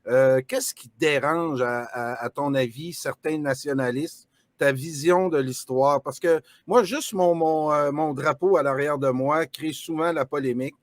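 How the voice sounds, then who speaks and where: A man's voice: fairly high pitch, unhurried, dry recording. A man with a Quebec French accent hosting a live online interview, asking questions conversationally with stumbling repetitions over a video call. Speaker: host.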